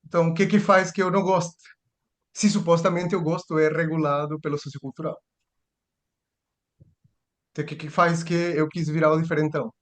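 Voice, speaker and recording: A man's voice: on the high side, little pitch movement, brisk, long pauses. A man giving a seminar lecture, thinking aloud with restarts and rhetorical questions.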